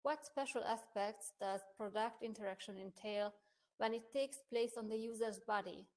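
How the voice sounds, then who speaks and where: A young woman whose first language is Hungarian, a research associate presenting a prerecorded conference paper in a measured, careful academic manner. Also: fairly high pitch. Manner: measured, careful academic delivery with occasional restarts.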